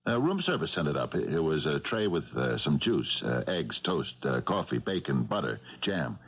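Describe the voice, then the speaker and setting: An actor playing a loyal, slow-talking pal who stammers through his story in a 1970s radio mystery. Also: very deep voice, big pitch swings, breathy edge, heavily acted. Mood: nervous. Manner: hesitant, stammering, full of uhs. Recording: dull vintage radio broadcast.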